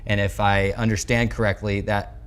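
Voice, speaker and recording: American man in his twenties, sports show host, lowish pitch, flat intonation, interviewing style. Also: normal speed, a little hiss or hum. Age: 20s. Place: small indoor locker-room set. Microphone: lapel mic.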